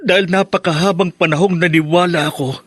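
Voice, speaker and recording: A man's voice: sits high, fairly even intonation, a little fast, fairly bright tone, no echo. A young man playing a sorrowful, confused son, pleading with heavy feeling in a Filipino radio drama.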